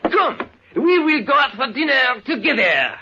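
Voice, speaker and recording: High-pitched, courteous restaurateur. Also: male voice, sing-song, unhurried, full character voice. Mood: pleased. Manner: formal, quaintly polite phrasing. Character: stout middle-aged restaurant owner, courteous, placid and unhurried. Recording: muffled, noisy old radio recording with clicks.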